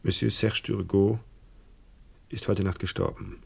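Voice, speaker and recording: An actor playing a courteous, discreet hotel director in a radio crime drama, gravelly, formal and careful with halting politeness. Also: male voice, lowish voice, fairly even intonation, darkish tone, full character voice.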